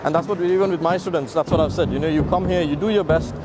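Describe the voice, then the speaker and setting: A man in his thirties, a martial arts instructor, talking quickly in an event interview and repeating words as he starts. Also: a little high, heavy background noise. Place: large sports hall, indoors. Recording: loud music under the voice.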